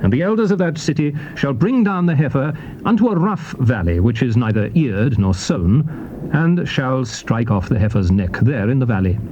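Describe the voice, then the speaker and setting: A man reads scripture in a solemn, declamatory style, his mid-pitched voice rising and falling dramatically. The delivery is measured and formal, like a staged narration. It sounds like a dull old cassette with clear noise over it. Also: lively intonation, mellow. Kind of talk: dramatized, solemn Bible reading. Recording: dull, noisy old cassette tape recording.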